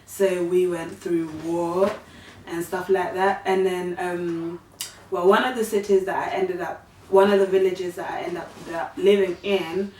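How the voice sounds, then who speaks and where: Soft-spoken woman from Uganda, low-pitched. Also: fairly even intonation, measured pace. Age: 30s. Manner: soft-spoken, hesitant, many fillers. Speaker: guest. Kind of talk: casual storytelling. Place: living room, sitting on a sofa. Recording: echoey room, far from mic, faint hiss.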